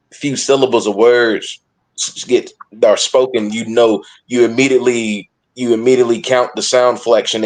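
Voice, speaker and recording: A man in casual sports discussion as a podcast panelist, emphatic but halting, often repeating and reworking his phrases. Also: medium pitch, low-quality recording.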